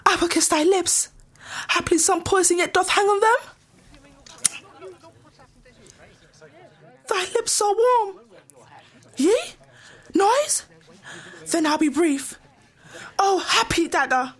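A young British woman playing a grief-stricken, devoted teenage bride in a Shakespearean audio drama, halting and breathless with anguish. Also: very high-pitched, fairly bright tone, full character voice. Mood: tender despair. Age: young adult.

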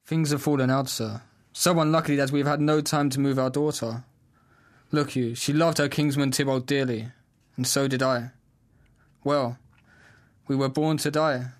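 A man's voice: medium pitch, fairly even intonation, normal speed, natural voice. A man playing a domineering, hot-tempered noble father, commanding and declamatory, in a Shakespeare audio drama. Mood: weary, genial.

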